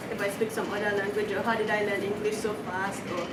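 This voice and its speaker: A woman's voice: medium pitch, flat delivery, quick pace. A young woman from Nigeria talking casually as an interviewee. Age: young adult. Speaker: interviewee, student. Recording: echoey, distant mic, noisy background.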